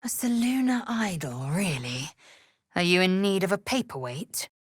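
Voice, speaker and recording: An actress playing a fierce, proud alien warrior woman in a video game, with a raspy voice and curt, imperious, formal diction. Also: fairly low pitch, expressive, unhurried, no room sound, clean studio sound. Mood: dry, dismissive.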